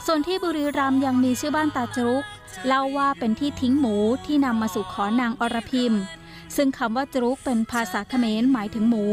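A woman narrates a documentary in a high, measured voice. Her reading is steady and scripted. A strong music bed runs beneath her. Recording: voice-over with loud music bed.

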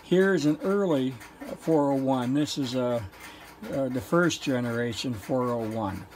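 A man giving an informal technical show-and-tell in a matter-of-fact, halting, explanatory way. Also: mid-range voice, darkish tone, low-quality recording. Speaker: presenter, telephone equipment collector.

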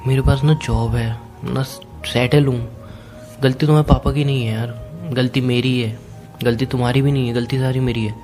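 A man's voice: medium pitch, little pitch movement, moderate pace, darkish tone. A young man in an emotional private phone conversation, speaking in a pleading, insistent way. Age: young adult. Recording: phone call recording with music. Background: music.

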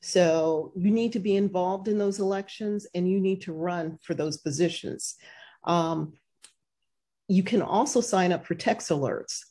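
A woman's voice: on the low side, unhurried, warm tone. An older woman farmer on a video call webinar, giving earnest practical advice with frequent "um" and "you know". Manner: earnest, advisory, many fillers. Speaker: farmer panelist. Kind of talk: webinar panel answer. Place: home office with ceiling fan. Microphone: video call webcam.

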